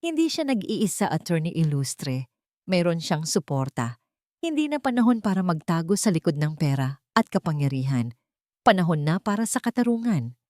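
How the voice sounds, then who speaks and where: A woman's voice: fairly low pitch, very animated, moderate pace, darkish tone, no room sound, studio quality. A woman with a Filipino accent voicing an earnest, protective rich young man in an audio romance story. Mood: resolute.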